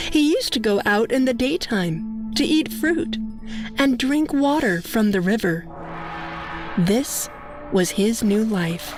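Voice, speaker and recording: Female storyteller, slightly high voice, unhurried delivery, simple moral-tale narration over light music.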